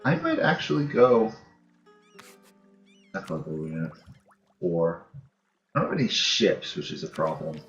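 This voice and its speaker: A man's voice: low-pitched, sing-song, a little slow, creaky, long pauses, a bit bright. A man giving casual let's-play commentary on a strategy game, thinking aloud as he plays. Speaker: host of a gaming channel playing a strategy game. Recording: dull, roomy home recording. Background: game music.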